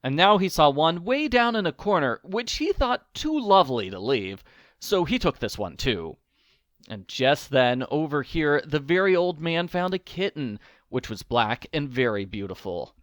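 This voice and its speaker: A man reading a children's storybook aloud in an expressive narrating style. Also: fairly high pitch, measured pace, dry recording, clean studio sound. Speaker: storybook reader.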